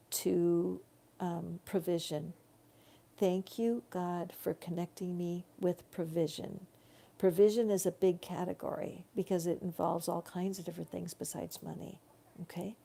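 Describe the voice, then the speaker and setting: A woman giving a live tarot reading in slow, soothing spiritual guidance, her voice growing tired. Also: fairly low pitch, creaky, dark tone. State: tiring voice. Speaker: tarot reader.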